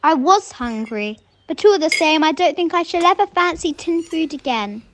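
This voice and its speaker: A young woman playing a frightened, high-strung woman in an amateur radio murder mystery, with a dramatic, stagey delivery. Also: animated, normal speed, noisy background. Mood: calmer, reflective. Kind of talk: amateur radio play acting.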